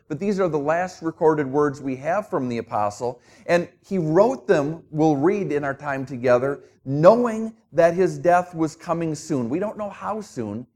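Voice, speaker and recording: A man's voice: fairly high pitch, darkish tone. A middle-aged man from Minnesota with a New Jersey accent, preaching a sermon as a guest pastor in an easygoing, conversational way with self-deprecating humor.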